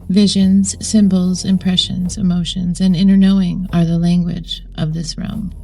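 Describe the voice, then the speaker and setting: Woman, meditation narrator, low-pitched, gravelly fry, solemn, measured, scripted delivery. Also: little pitch movement, mellow, low-quality recording. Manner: solemn, measured, deliberate phrasing. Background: background music.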